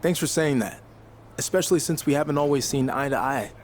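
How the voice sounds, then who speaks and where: A man's voice: a little high, fairly quick, close to an everyday voice. A man playing a confident, blunt, self-focused young wrestler in a video game, matter-of-fact in delivery. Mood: wry. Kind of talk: video game cutscene dialogue. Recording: faint background noise.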